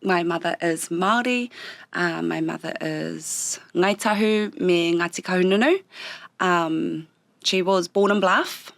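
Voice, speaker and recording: A woman with a New Zealand accent and a slightly low voice, reflecting on her life as an interview guest. Her first language was Japanese. She speaks thoughtfully and stops often to search for words, and the studio recording is dry and close. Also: gravelly, no room sound. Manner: thoughtful, pausing often with ums.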